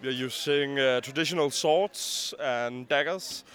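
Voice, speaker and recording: A man with a Danish accent, a Viking reenactor, speaking haltingly with many pauses in simple phrases. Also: mid-range voice, a bit bright. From Denmark.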